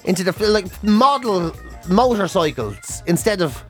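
A man's voice: high voice, very animated, measured pace, fairly bright tone, faint music behind. A middle-aged Irish man in casual, jokey podcast banter with a co-host, swearing now and then. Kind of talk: casual comic chat.

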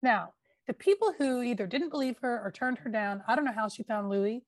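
A woman's voice: on the high side, lively intonation, brisk, no echo. Woman podcast host, chatty emphatic storyteller, dry close mic. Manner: chatty, emphatic, filler-heavy retelling. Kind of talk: casual true-crime storytelling.

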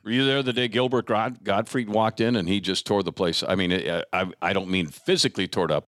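A man's voice: fairly low pitch, fairly even intonation, a little fast, a bit bright, no echo, studio quality. A middle-aged man, hosting a casual conversational interview. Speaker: interviewer, host.

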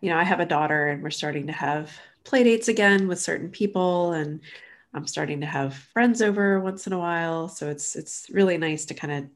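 A woman's voice: lowish voice, fairly quick. A woman in her thirties, a design educator, chatting as a podcast guest, a little nasal from allergies. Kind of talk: casual interview.

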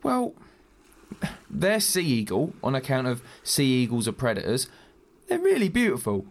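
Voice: mid-range voice, huge pitch swings, moderate pace. An actor playing a naive, nervous smuggler, earnest and rambling, in an audio drama. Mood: earnest, defensive. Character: nerdy, nervous, naive smuggler, keen on birds and shells.

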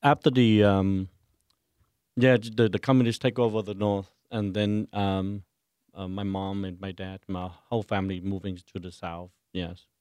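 Vietnamese accent priest, lowish smooth voice, lots of ums, dry mic. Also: male voice, level tone, a little mellow, no room sound. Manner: halting, searching for words with many fillers. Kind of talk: radio interview answers. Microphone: studio microphone.

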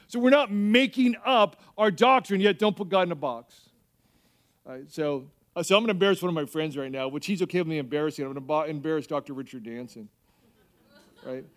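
A man preaching as a pastor in an animated, conversational way, repeating phrases for emphasis and telling humorous personal stories. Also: high voice. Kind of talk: sermon with personal anecdotes and acted-out dialogue.

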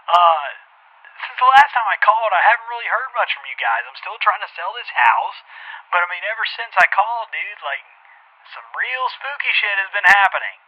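A man's voice: high-pitched, very animated, normal speed. An actor playing a laid-back young male realtor who rambles with lots of fillers on a voicemail. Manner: rambling, full of "like" and "uh". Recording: muffled phone voicemail.

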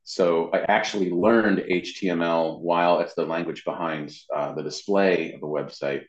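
A man's voice: low voice, fairly even intonation, normal speed. An American man in his fifties chatting casually as an interview guest, rambling a little with self-deprecating humour. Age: 50s. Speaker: interview guest, web marketing professional. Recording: muffled lo-fi sound in echoey room.

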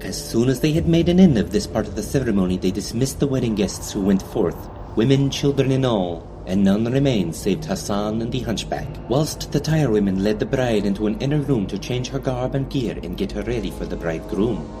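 A man's voice: fairly low pitch, lively intonation, fairly quick, few pauses, noisy background. A man narrating a scripted dramatic tale in a formal, archaic, theatrical storytelling manner.

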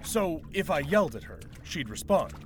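An actor playing a bleak, sardonic thrall, a worn-out and fatalistic survivor, in an audio drama. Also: male voice, fairly high pitch, sing-song, moderate pace, a little hiss or hum. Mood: needling.

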